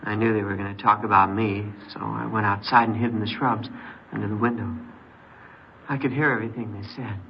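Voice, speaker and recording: Old radio drama, dull noisy sound, neglected fourteen-year-old boy, hesitant, halting, troubled. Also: fairly low pitch, fairly even intonation, vocal fry. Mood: wistful, confiding.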